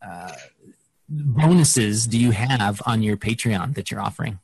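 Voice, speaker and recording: A man's voice: on the low side, animated, measured pace, darkish tone, breathy edge, no room sound. A man interviewing casually as a podcast host, hesitating as he searches for words.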